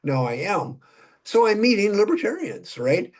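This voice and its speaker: A man's voice: high-pitched, very animated, normal speed. A man in his seventies with a Minnesotan accent, chatting in a folksy, talkative way as a political candidate in a casual conversation. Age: 70s. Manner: folksy, chatty, laughs while talking.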